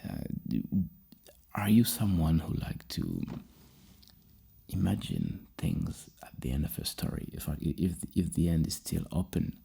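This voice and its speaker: An extremely low, breathy male voice, soft-spoken in an ASMR style. He sounds like a psychologist working through questions in a halting, rambling way, with heavy fry in a mellow tone. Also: wildly swooping pitch. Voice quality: very deep, gravelly, breathy. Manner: slow, halting, rambling, dropping into whispers. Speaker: ASMR roleplayer playing a psychologist giving a personality questionnaire.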